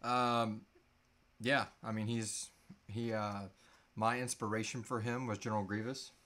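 A man in his thirties hosting a casual live question-and-answer chat, often halting and trailing off mid-thought. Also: fairly low pitch, flat delivery, a bit bright. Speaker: host answering audience questions. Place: small indoor room.

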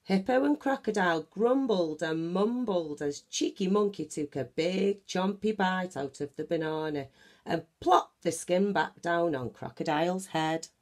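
A woman reading a children's picture book aloud in an animated, deliberate manner. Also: lowish voice. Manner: animated, deliberate, acts out sound effects. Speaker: children's story reader. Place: small bedroom at home, indoors.

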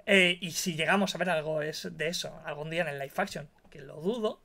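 A young man sets out his view firmly, stacking up one point after another. His voice sits on the high side for a man. He talks into a headset from a dim room at home. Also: fairly quick, gravelly, bright, forceful tone. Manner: emphatic, opinionated, building his case point by point. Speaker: co-host. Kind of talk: casual streamed chat.

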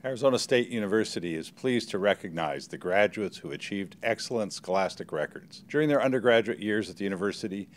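Male, sixties, somewhat deep, academic official, formal scripted ceremony presentation. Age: 60s. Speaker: university provost presenting honors. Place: indoor stage at a podium.

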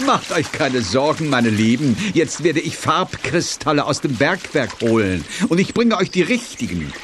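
Vain, swooping magical horse. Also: male voice, fairly high pitch, very animated, measured pace, hardly any pauses, a bit bright. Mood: proud, reassuring. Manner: pompous, whinnying laughs. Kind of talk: children's radio play acting. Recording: noisy old cassette.

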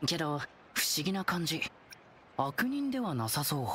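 A woman's voice: low-pitched, big pitch swings, normal speed, fairly bright tone, a little hiss or hum. A woman voicing a cheerful, eager young male lion dancer in a video game, with a friendly, earnest, boyish manner. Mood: curious, sniffing.

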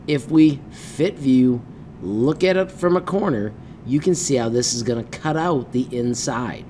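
A middle-aged man presents a hands-on computer tutorial in an informal, upbeat way. His pitch is average for a man, and he drops in punchy little exclamations and folksy phrases. Some low background noise sits behind his voice. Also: a little mellow. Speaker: tutorial presenter teaching 3D design. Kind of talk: step-by-step software tutorial, informal. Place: indoors at a computer, webcam screencast.

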